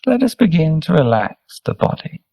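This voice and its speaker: A man leading a guided meditation as a teacher, speaking gently and soothingly in short, unhurried instructions with pauses. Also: a little high, sing-song, vocal fry, no room sound. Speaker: meditation teacher, Buddhist monk.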